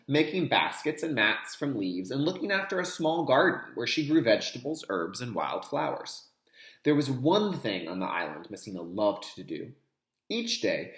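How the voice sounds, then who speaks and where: A man's voice: mid-range voice, a bit bright, roomy sound. A man teaching young children through a read-aloud, speaking to them directly and explaining as he goes. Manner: addresses young listeners directly, repeats key terms. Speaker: kindergarten teacher reading a picture book aloud.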